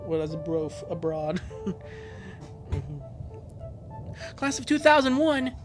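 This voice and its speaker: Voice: medium pitch, very animated, slow pace. A streamer in their twenties reading fan fiction aloud on a live stream, jokey and often breaking off into asides. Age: 20s. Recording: music behind the voice. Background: music.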